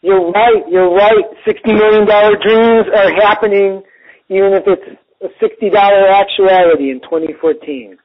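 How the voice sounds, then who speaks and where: An American man with a husky voice chatting casually with a friend, agreeable and a little halting. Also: sits high, steady tone. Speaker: podcast co-host. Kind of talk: casual phone chat between friends. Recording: muffled lo-fi phone-call audio.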